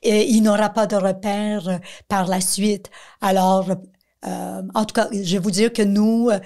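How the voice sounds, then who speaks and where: A woman's voice: medium pitch, little pitch movement, a little slow, mellow. A middle-aged woman with a Quebec French accent, speaking as an interview guest and explaining advice through everyday examples. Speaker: guest, director of a missing-children organisation.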